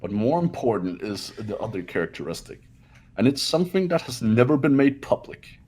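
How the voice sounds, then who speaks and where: A man playing a husky, arrogant young genius. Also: mid-range voice, very animated, a little fast, dark tone. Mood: cool, explanatory. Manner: haughty, declarative. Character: arrogant, cold, accusing young rich genius. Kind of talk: amateur game dub, dramatic reading.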